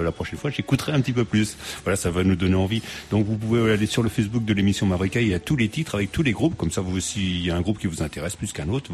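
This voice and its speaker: Radio host, male, very low voice, easygoing and rambling, lots of fillers. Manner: relaxed, rambling with many fillers and restarts. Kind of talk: casual radio chat.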